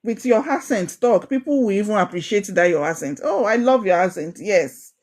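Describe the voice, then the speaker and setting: A young woman with a Nigerian Ijesha accent, coaching a women's group in an online course, animated and encouraging, often repeating phrases for emphasis. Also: medium pitch, normal speed. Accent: Nigerian, Ijesha Yoruba.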